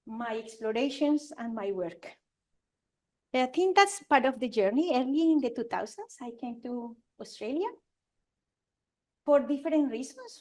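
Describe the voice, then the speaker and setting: A woman's voice: on the high side, warm tone, low-quality recording. A woman in her forties from Colombia with a Colombian Spanish accent, giving an earnest conference talk as an architect, speaking Spanish-accented English with frequent restarts. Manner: earnest, halting, with restarts and fillers. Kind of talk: conference presentation. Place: lecture venue with audience.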